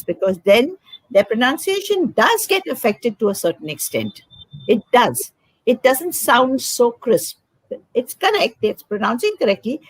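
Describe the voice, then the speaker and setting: Emphatic older Indian woman educator, husky. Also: a little high, dry recording, low-quality recording. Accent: Indian English. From south India, Karnataka. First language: Konkani. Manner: emphatic, didactic, repeats key phrases. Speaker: educator, guest. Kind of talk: conversational advice.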